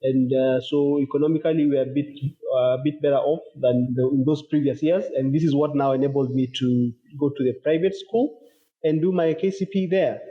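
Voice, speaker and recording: A man with a Kenyan accent, a company founder, answering interview questions in a measured, thoughtful way with pauses. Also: medium pitch, steady tone, dull audio.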